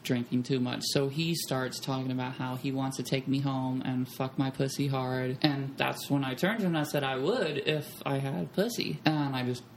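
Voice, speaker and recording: A young woman with a low, gravelly voice tells candid stories in a loose, blunt and profane way. A faint hiss sits under the recording. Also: normal speed, few pauses, low-quality recording. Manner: blunt, profane, animated storyteller. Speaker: podcast guest. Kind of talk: candid storytelling chat.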